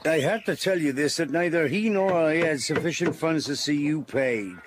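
An actor playing an earnest, suspicious old-time soldier in a radio drama, grave and formal. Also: male voice, on the high side, moderate pace, noisy background, natural voice. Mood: puzzled.

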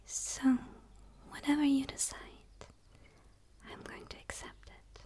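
A woman voicing a gentle, curious dark alien in a soft, tentative, pleading ASMR roleplay whisper. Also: high-pitched, little pitch movement, slow. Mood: earnest, gentle. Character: gentle, curious female dark alien eager for human friendship.

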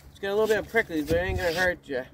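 A man in his thirties filming a casual fishing vlog from a small boat on a lake, loudly and eagerly coaching his kids as they reel in fish. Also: high voice, racing. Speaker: fishing vlogger and father. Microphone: action camera mounted on the boat. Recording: outdoor wind and water noise.

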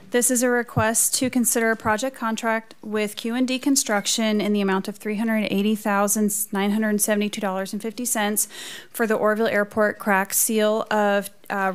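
A woman with a clear, smooth voice presents a staff report at a council meeting. Her delivery is measured and businesslike, with an even, flat intonation. She speaks into a podium microphone. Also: mid-range voice. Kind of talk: formal prepared report. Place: council chamber, indoors.

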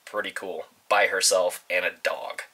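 Bright-voiced man chatting casually to viewers. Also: deep voice, level tone. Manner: informal, halting, thinking aloud. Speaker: vlogger. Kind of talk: casual, rambling vlog chat to viewers. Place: inside a parked converted school bus.

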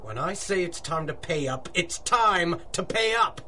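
An actor playing a bullying, insistent protection-racket thug in a radio adventure drama. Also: male voice, high voice, animated, unhurried, bright, forceful tone, a little hiss or hum, heavily acted. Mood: menacing. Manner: threatening, insistent. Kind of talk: 1930s-style radio adventure acting.

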